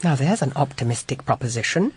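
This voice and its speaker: An actress playing a sceptical, protective private detective in a British radio drama, English, dry and argumentative. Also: female voice, extremely low pitch, animated, fairly quick, a little mellow, breathy edge, no room sound, heavily acted.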